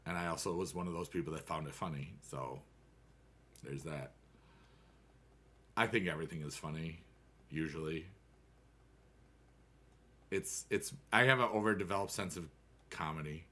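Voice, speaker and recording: A man's voice: a bass voice, normal speed, pauses often, sharp tone. An American man in his thirties chatting casually with viewers on a game stream, rambling and upbeat with playful rhymes.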